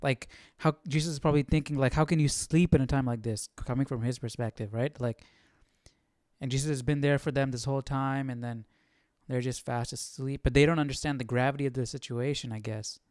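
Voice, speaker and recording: Smooth-voiced man casually musing, close and dry. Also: mid-range voice, steady tone, a little fast, a little mellow, no echo. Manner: informal, musing aloud. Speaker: host of a Bible study. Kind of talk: casual reflective commentary.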